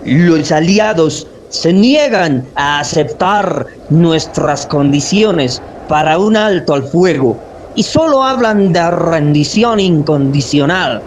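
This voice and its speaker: An actor playing a formal German admiral in a radio drama, reporting in a measured manner. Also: male voice, fairly high pitch, lively intonation, a little hiss or hum. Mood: formal, grave. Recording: music under the voice.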